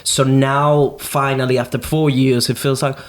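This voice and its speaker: A man's voice: mid-range voice, moderate pace. A young man with a Venezuelan Spanish accent, a native Spanish speaker, chatting casually and informally as a podcast host. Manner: informal, animated slangy talk with swearing. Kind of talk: casual chat.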